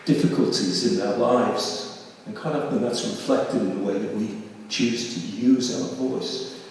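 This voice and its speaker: A man giving reflective academic commentary, choosing his words carefully with hesitant pauses. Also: on the low side, steady tone. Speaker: discussion participant. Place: large reverberant room at a public discussion. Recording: echoey hall from far off, faint noise.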